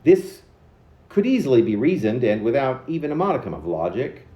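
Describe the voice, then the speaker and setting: A man reading his own poem aloud theatrically, in an arch, mannered, halting delivery with dramatic emphasis. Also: medium pitch, very animated, mellow, reverberant. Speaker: poet reading own work.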